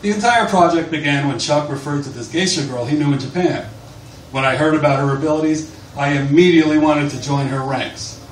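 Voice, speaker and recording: A man's voice: on the high side, fairly quick. Male author at a live bar reading, roomy echo and faint noise around the voice. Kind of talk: live literary reading aloud from a book. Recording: distant, echoey, noisy live bar recording.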